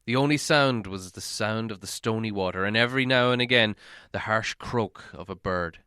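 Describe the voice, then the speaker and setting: A man's voice: fairly low pitch, normal speed, pushed and bright, clear, resonant tone, no room sound, clean studio sound. A man narrating a fantasy novel aloud as an audiobook reader. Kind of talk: storybook narration, read aloud.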